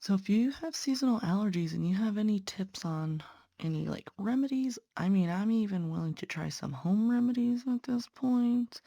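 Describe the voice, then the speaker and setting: A woman's voice: medium pitch, lively intonation, moderate pace, creaky, warm tone, no echo. A young woman, a patient care tech and nursing student, chatting earnestly to viewers while stitching, sounding congested. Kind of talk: casual chat while stitching.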